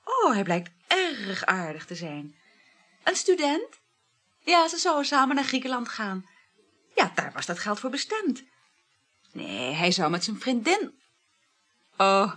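An actress playing an impulsive, talkative, lovestruck woman in a naturalistic radio drama, effusive and eager, with a breathy voice. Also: a little high, big pitch swings, measured pace, pauses often, a bit bright, full character voice. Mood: chatty. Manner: effusive, full of exclamations.

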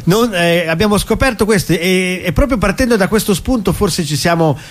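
Higher-voiced man, chatty interview guest. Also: normal speed. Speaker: stage actor, radio guest. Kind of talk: interview, casual explaining of a show.

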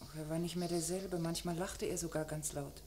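A wistful middle-aged woman, played by an actress in an old radio crime play, guarded and loyal. Her voice is on the low side and restrained. The old recording has faint noise behind it. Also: steady tone, fairly quick, lightly acted. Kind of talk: mid-century German radio crime drama acting.

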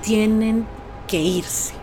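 A woman's voice: medium pitch, fairly even intonation, drawn-out words, gravelly, over music. A woman giving spoken documentary testimony in a scripted style. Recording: noticeable urban background noise.

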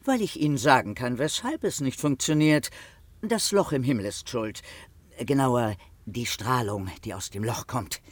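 A man's voice: medium pitch, lively intonation, normal speed, dry recording, close to an everyday voice. A man playing an odd, self-assured hotel detective in a satirical radio drama, matter-of-fact about absurd claims.

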